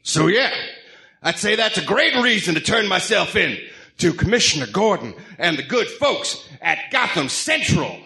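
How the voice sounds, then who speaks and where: Man playing a cocky male crook, chatty, self-satisfied, animated pitch, radio drama. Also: moderate pace, gravelly, exaggerated voice. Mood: smug relief. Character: cocky male crook explaining his surrender. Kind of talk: radio-drama comic book reading.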